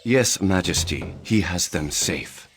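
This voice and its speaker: An actor playing a loyal senior minister of an old Korean court in a game cutscene, speaking formally and with measured delivery. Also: male voice, low voice, expressive, a bit bright, heavily acted. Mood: firm, assured. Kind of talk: scripted historical game cutscene acting. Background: orchestral game music.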